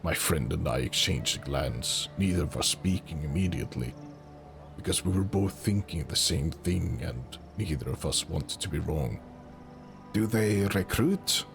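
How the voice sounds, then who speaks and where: A man narrates a fantasy story slowly and deliberately. His voice is extremely deep with a gravelly rasp. Music plays clearly beneath him. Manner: slow, deliberate, brooding. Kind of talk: dramatic fantasy audiobook narration.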